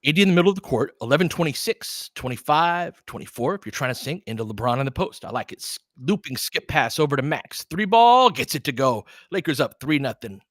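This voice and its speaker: A man's voice: on the high side, sing-song, a little fast, hardly any pauses, fairly bright tone, no echo, studio quality. A man hosting a casual fan livestream, calling basketball in short clipped phrases mixed with chatty asides. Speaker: play-by-play host.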